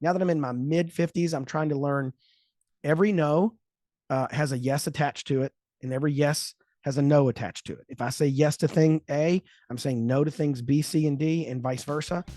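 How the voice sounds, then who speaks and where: A man in his mid fifties telling a story in casual podcast chat, animated, acting out quotes and laughing often. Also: a little high, moderate pace, no room sound, studio quality. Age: 50s. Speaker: podcast host.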